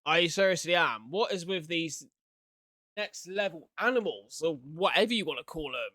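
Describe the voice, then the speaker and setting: Guy doing casual reaction commentary, bright forward voice, dry close sound, kinda incredulous. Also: a little high, lively intonation, normal speed, no room sound. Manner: informal, interjecting, incredulous, swearing. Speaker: reaction video host.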